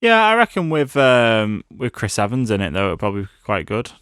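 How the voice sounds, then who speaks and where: A British man with a slightly low voice chats about films as a podcast co-host. His delivery is informal, with a wry, jokey streak. The recording is very dry and free of room sound. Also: big pitch swings, brisk, a bit bright, smooth, no room sound. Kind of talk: casual chat about films.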